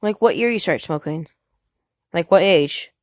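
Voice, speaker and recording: A woman's voice: lowish voice, expressive, a little mellow, no room sound, clean studio sound. Curious nineteen-year-old woman. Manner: curious, asks many quick follow-up questions. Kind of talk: casual home conversation.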